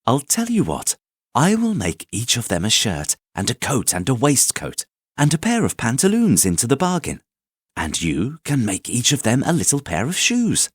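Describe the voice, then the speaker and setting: A man voicing a kindly middle-aged shoemaker's wife in a raised, lilting voice and an earnest, warm manner. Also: mid-range voice, huge pitch swings, moderate pace, husky, no echo, studio quality, voice pushed up.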